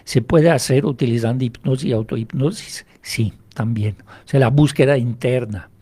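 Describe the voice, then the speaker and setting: Man, mellow voice. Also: medium pitch, slightly rough. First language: French. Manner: explanatory, measured, asks and answers own questions. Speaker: hypnotherapist guest. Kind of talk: radio interview. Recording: radio interview.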